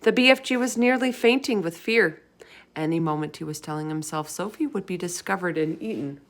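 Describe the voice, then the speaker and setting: A woman reading a children's story aloud as an expressive narrator. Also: fairly low pitch, fairly quick.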